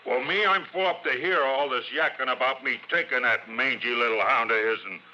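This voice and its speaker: A sharp, blustering American lug. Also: male voice, medium pitch, a little fast, slight background noise. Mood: blustering, irritated. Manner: belligerent, slangy, ungrammatical. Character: dim, blustering, clumsy working-class male crook. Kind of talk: golden age radio drama acting. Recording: dull old radio recording.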